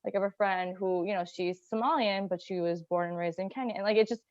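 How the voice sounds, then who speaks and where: A woman's voice: medium pitch, steady tone, fast talker, dry recording. A woman from Iran on a video call, thinking aloud in a casual discussion with many fillers. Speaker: journal club participant. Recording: muffled dry call audio.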